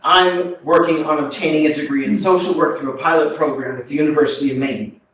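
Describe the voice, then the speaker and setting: A man in a staged play reading, acting a remorseful prisoner who confesses to killing his family in halting, subdued, terse phrases. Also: fairly high pitch, steady tone, fairly bright tone, natural voice. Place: arts center stage, indoors, recorded from afar. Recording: very echoey hall, distant mic, background noise.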